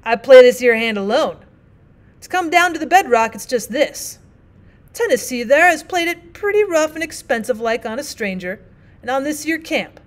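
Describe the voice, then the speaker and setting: A woman voicing a rough, earnest middle-aged frontier miner with a rustic Old West drawl, rambling in folksy dialect. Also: high-pitched, lively intonation, moderate pace. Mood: earnest pleading. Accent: put-on rustic Old West drawl.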